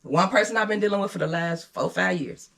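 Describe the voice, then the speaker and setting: A woman's voice: fairly low pitch, fairly quick, vocal fry. A woman in her fifties chatting casually and candidly, with a blunt, unapologetic and emphatic way of talking. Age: 50s.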